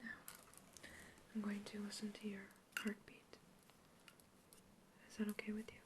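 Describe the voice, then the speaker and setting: A woman whispering gently through an ASMR roleplay, asking calm questions as an examiner. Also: medium pitch, moderate pace, background noise. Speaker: ASMR artist playing an examiner.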